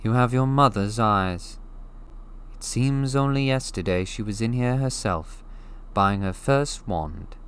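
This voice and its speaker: An eerie old wandmaker speaks in a soft, drawn-out, deliberate way, as a man puts him on. His voice sits higher than the narration around it, with a slow and piercing tone. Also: clear, resonant tone. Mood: musing.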